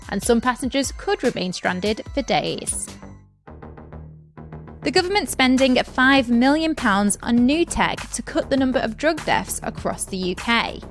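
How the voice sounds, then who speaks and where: A woman's voice: on the high side, expressive, normal speed, faint music behind. A woman presenting scripted news as a podcast host.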